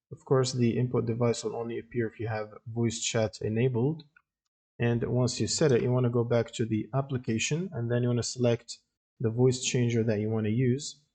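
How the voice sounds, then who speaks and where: Man with a muted, husky voice. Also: medium pitch, dark tone. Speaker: tutorial narrator. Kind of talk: step-by-step software tutorial voice-over.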